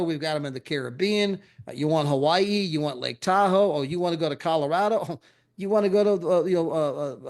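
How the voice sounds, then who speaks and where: Eager middle-aged man pitching travel. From USA. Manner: animated, repetitive, chuckling asides. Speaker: travel club promoter. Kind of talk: enthusiastic sales pitch.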